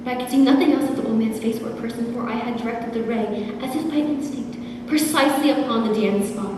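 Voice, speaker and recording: Teenage girl as a halting mad murderer, distant, echoing. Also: fairly high pitch, natural voice. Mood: intense, uneasy. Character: brooding, chuckling-hearted mad murderer recounting a night vigil. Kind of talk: dramatic stage monologue from a gothic horror tale. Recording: distant echoey hall, loud background noise.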